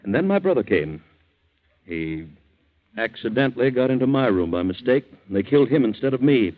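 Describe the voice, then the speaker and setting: An actor playing a calm, vengeful father in a 1940s radio thriller, speaking gravely and deliberately. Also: medium pitch, close to an everyday voice. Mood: grave, explaining. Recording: tinny narrow-band old radio recording.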